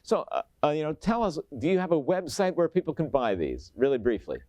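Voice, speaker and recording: A man's voice: a little high, sing-song, a little fast, a little mellow, no echo. A man hosting a television interview, speaking appreciatively with a somewhat hesitant delivery.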